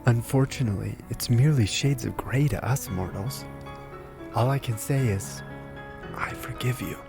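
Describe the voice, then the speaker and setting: A man playing a solemn, gentle priest in an audio drama, speaking in a grave, measured way. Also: mid-range voice, creaky, music in the background, lightly acted. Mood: solemn, resigned.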